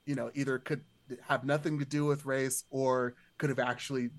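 A man with a middle-pitched voice speaks as a guest in a casual podcast discussion. He rambles, circling back through his sentences and leaning on "like" as he goes. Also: flat delivery, normal speed.

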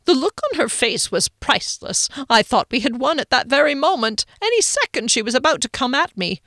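A woman voicing a timid, fussy grown man who whimpers and frets in a high, whining voice. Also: very animated, brisk, a bit bright, dry recording, clean studio sound, heavily acted. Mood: perked up.

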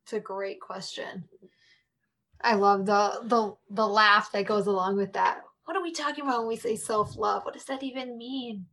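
A woman speaking as a podcast interview guest, reflective and warm in tone, laughing often and addressing the listeners directly. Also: medium pitch, steady tone, moderate pace, low-quality recording.

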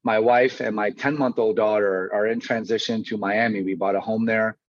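A man's voice: lowish voice, fairly even intonation, moderate pace. A man in his thirties from Chicago, telling his own story conversationally as a podcast guest. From Chicago, United States. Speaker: podcast guest, mortgage branch manager and coach. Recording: slightly dull, lo-fi audio.

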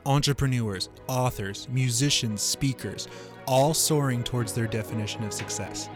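A man hosting a podcast, reading a measured, earnest scripted introduction over soft music. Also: medium pitch. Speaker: podcast host.

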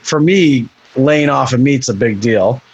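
A man's voice: medium pitch, unhurried, husky, muffled recording. A man from Ireland in casual podcast chat, offering thoughtful, tentative suggestions.

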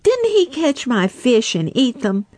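A storybook reader voicing an angry, sulking young male otter who snaps out indignant retorts in a raised, higher voice. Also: very animated, takes it slowly, darkish tone, no echo, clean studio sound. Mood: angry snapping. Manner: snapping, indignant.

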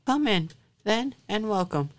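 A woman acting a shrewd, calm giant's wife in a radio drama, coaxing and unflappable. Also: medium pitch, a little slow, lightly acted. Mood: welcoming.

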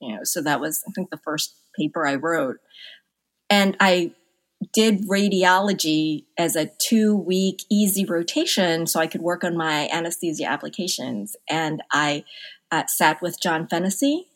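A middle-aged woman from the Philippines, a physician answering interview questions in a thoughtful, halting way. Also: on the low side, poor audio. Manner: thoughtful, halting restarts with occasional laughs. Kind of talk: conversational interview.

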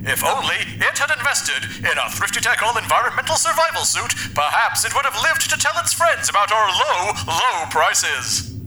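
A man playing the chirpy, oblivious computer of a cheap spacesuit, pitching like a relentless salesman in a sci-fi audio drama. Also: very animated, normal speed, sharp tone, slight background noise, heavily acted, low-quality recording. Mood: cheerful, oblivious. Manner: relentlessly upbeat advertising patter.

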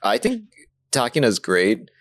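A man's voice: medium pitch, huge pitch swings, unhurried, fairly bright tone, no room sound. A man co-hosting a podcast in casual, conversational discussion. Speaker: podcast co-host. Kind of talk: casual anime discussion chat.

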